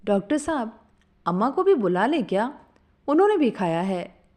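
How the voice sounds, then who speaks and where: Woman playing a naive, animated truck driver. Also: fairly high pitch, normal speed. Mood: sheepish, earnest. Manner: guileless, colloquial. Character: naive gluttonous truck driver, devoted son.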